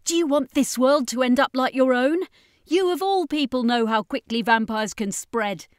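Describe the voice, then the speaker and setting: A narrator voicing Danica, a high-pitched insistent witch. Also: normal speed, no room sound, studio quality, natural voice. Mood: argumentative.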